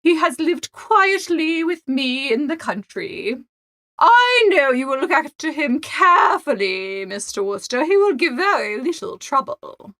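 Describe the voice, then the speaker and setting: A woman's voice: high-pitched, sing-song, measured pace, fairly bright tone, dry recording, heavily acted. A woman playing an imperious, self-satisfied older English society mother in a dramatised audiobook, grand and presumptuous in manner. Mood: self-assured, airy. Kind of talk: dramatised audiobook character dialogue.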